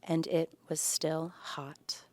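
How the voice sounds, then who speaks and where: A woman reading a children's picture book aloud with deliberate, dramatic, drawn-out phrasing. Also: deep voice, flat delivery, a little mellow. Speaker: guest story reader for schoolchildren.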